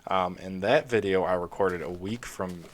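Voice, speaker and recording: A man's voice: sits low, normal speed. A young man talking casually over a game he is playing, rambling with many fillers, his throat scratchy from a recent illness and breaking into coughs. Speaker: gaming video creator. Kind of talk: casual let's play commentary.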